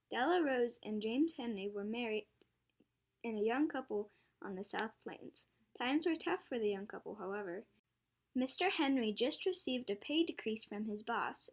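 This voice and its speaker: A child of about ten reading scripted narration as the storyteller of a school radio play. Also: medium pitch. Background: faint music.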